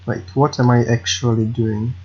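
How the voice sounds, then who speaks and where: A man's voice: mid-range voice, little pitch movement, normal speed, darkish tone, dull audio. A man, thinking aloud while he records himself programming at his home computer, hesitant and self-correcting, often apologizing. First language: Russian. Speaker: hobby game programmer.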